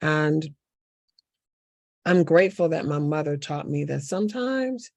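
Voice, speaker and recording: A woman's voice: sits low, animated, a little slow, dry recording. A woman from the American South, a city council candidate, answering a panel question with passion and repeating key words for emphasis. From Southern United States.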